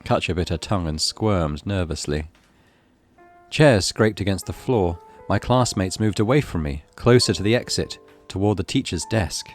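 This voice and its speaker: Male narrator, low register, highly expressive, suspenseful horror game reading over music. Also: moderate pace. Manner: theatrical, suspenseful. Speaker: gaming channel host reading aloud. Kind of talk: dramatic scripted narration of a horror visual novel.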